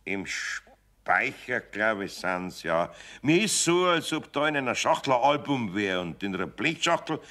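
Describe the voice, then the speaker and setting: A man's voice: fairly low pitch, big pitch swings, pushed and bright, full character voice. A man playing a kindly, patient older master carpenter with a Bavarian accent and a homely manner in a children's radio play. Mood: friendly. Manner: unhurried, good-natured, homely.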